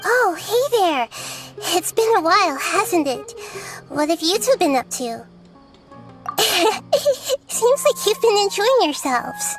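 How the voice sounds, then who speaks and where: A woman voicing a gentle, wise little girl deity in a video game, earnest and soft-spoken. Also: extremely high pitch, sing-song, takes it slowly, exaggerated voice. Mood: warm, pleased. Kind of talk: video game character acting. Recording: game music behind the voice.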